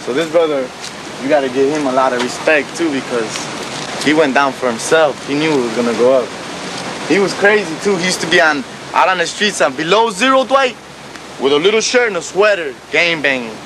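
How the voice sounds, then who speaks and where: A man's voice: a little high, animated, brisk, noisy background. A man telling street stories in an emphatic, profane, slangy way, repeating himself for emphasis in a documentary field recording. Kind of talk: animated street storytelling.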